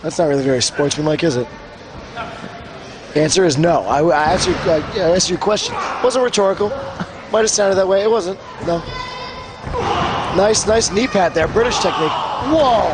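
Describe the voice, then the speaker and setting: A young man, a wrestler, doing color commentary at a wrestling show, hoarse from screaming and joking around. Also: high voice, normal speed, long pauses. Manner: joking, excitable, rambling. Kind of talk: live play-by-play commentary. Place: wrestling arena commentary desk. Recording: loud arena crowd and noise.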